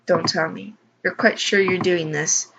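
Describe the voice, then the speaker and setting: A woman's voice: on the low side, steady tone, unhurried, background noise, close to an everyday voice. A woman voicing a stern, controlling mother who scolds and commands, in a visual novel read-aloud. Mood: belittling.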